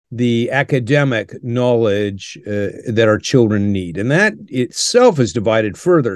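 An older man speaking in an earnest, measured way, like someone teaching, and pausing often with "uh". His voice sits at a middle pitch and is recorded dry and close, with no room sound. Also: a little mellow, dry recording. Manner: earnest, measured, with frequent "uh" pauses. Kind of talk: interview answers, teaching tone.

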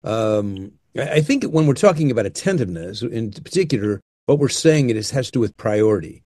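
Older man, mid-pitched, earnest and unhurried, dry close mic; counsel-style speaker. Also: expressive, mellow, no echo. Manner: earnest, unhurried, with frequent restarts. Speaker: guest speaker on marriage. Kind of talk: reflective conversational talk.

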